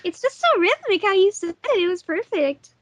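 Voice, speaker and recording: A woman's voice: very high-pitched, big pitch swings, normal speed. A woman chatting casually on a commentary track as a voice actor and sound editor.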